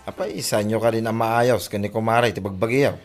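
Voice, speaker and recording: An actor plays a male confidant in a Filipino radio comedy drama, frank and advising. His Ilocano-accented voice is on the low side, with faint music underneath. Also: brisk, lightly acted. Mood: questioning, advising.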